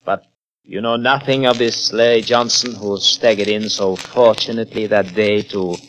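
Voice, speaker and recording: An actor playing a megalomaniac, vengeful scientist in a grandiose, menacing style of old radio horror. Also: male voice, lowish voice, steady tone, moderate pace, fairly bright tone, natural voice, rough recording. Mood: cold, commanding. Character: megalomaniac vengeful male scientist revived in a stolen body. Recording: old radio recording, faint noise.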